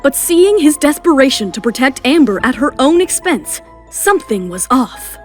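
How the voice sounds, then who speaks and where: A woman's voice: sits high, sing-song, normal speed. A woman reading dramatic scripted narration as the narrator of an audio drama. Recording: music behind the voice.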